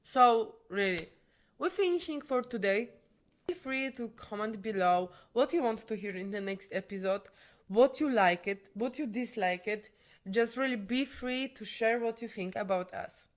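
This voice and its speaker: A woman's voice: on the high side, normal speed. A young woman from Poland, co-hosting a podcast in earnest English and talking straight to viewers. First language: Polish. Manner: earnest, non-native English, addresses viewers directly. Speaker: podcast co-host. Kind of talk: casual chat.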